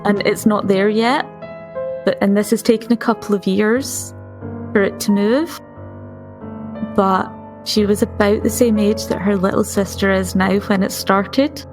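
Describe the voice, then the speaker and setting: A woman's voice: mid-range voice, fairly even intonation, a little slow. A woman playing a tabletop game, describing her character in conversational narration. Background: piano or keyboard music.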